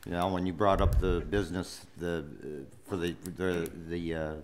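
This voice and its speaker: A man's voice: sits low, steady tone, moderate pace, slight background noise. A man on a city council who rambles and searches for words as he speaks. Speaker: council member.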